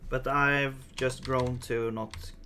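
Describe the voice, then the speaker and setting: A man's voice: medium pitch, steady tone, takes it slowly, bright, forceful tone, a little hiss or hum. A man with a Swedish accent, casually livestreaming while he codes, thinking aloud in mumbled half-sentences and chuckling now and then. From Sweden. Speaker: streamer.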